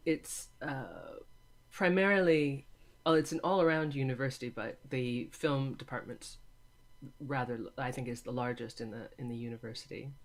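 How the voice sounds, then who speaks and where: A woman from California with an American accent, answering conversationally as an interview guest. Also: extremely low pitch. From California, USA. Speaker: interview guest, film lecturer and voice actor.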